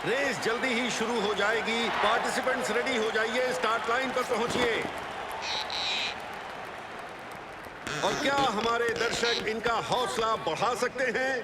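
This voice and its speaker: High-pitched, excitable cartoon race announcer. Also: male voice, moderate pace, hardly any pauses, a loud crowd, heavy background noise. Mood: upbeat, rallying. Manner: emphatic, showy, rallying. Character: excitable male sports announcer at a junior race. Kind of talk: excited sports commentary in a cartoon. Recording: loud music behind.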